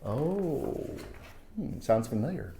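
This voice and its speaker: A woman reading a children's picture book aloud as a storytime teacher, expressive and dramatic, with asides to young listeners. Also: very deep voice, slow pace, reverberant.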